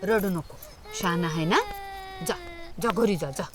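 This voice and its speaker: A woman's voice: lowish voice, unhurried, noisy background, lightly acted. An actress playing a kind village mother who soothes a crying boy, speaking in a coaxing, motherly way. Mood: soothing.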